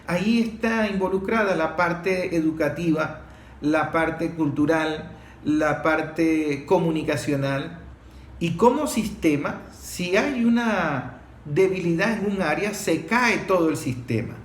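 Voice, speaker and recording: A man with a notably high voice and a Venezuelan accent speaks in an unscripted, analytical way. He argues and explains with emphasis. The recording is echoey, with faint noise behind him. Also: slow pace. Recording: roomy echo, faint background noise.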